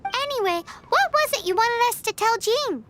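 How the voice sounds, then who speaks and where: A tiny floating girl companion, cheeky and blunt. Her voice is very high and squeaky, leaping all over the place, with playful game music tinkling behind her. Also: expressive, normal speed, a bit bright. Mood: curious. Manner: blunt, teasing, refers to herself by name. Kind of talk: video game voice acting. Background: light game music.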